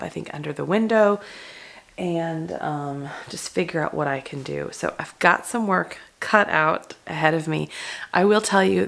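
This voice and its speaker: Hoarse, gravelly-voiced woman vlogger. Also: deep voice, fairly bright tone. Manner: chatty, rambling with many ums. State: hoarse from a cold. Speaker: homestead vlogger. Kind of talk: casual walkthrough vlog. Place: converted dining room pantry, indoors.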